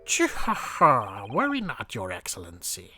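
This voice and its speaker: A man voicing a pompous, scheming prosecutor in a video game fan dub, with theatrical, drawn-out delivery. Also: fairly low pitch, huge pitch swings, music in the background, heavily acted. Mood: smugly menacing.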